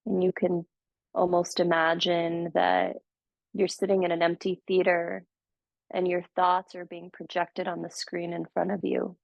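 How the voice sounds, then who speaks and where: A woman guiding a meditation, speaking slowly and gently with soothing pauses. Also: lowish voice, level tone, gravelly, dry recording. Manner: slow, gentle, soothing guidance with long pauses. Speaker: meditation teacher. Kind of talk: guided meditation. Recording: dull, muffled audio.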